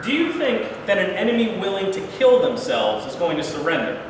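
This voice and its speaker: Distant, echoing hall recording. Casting: male actor as blunt, impatient wartime president, high-voiced. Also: very animated, fairly quick. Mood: concerned, insistent. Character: irritable, plain-spoken American president, worried about war casualties. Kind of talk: staged reading of a play. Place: live reading in a large echoing room. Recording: very echoey noisy hall, from far off.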